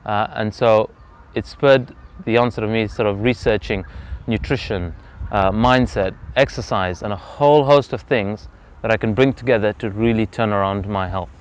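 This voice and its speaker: Earnest man, smooth low voice. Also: fairly even intonation. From Zambia. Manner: earnest, reflective, measured. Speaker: interview guest. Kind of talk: personal story in an interview. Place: outdoors on a freezing day. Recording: slightly dull lo-fi outdoor recording.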